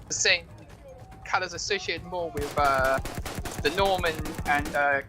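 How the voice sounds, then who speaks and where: A man's voice: a little high, measured pace, music in the background. A British man chatting casually about history as a co-commentator during a video game. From United Kingdom.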